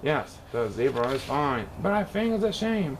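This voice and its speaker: Guy doing a kids rhyming book read-aloud, super swoopy expressive voice, bit of noise behind. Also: mid-range voice, moderate pace. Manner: theatrical, expressive delivery. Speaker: storybook reader. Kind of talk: animated read-aloud of a rhyming children's storybook.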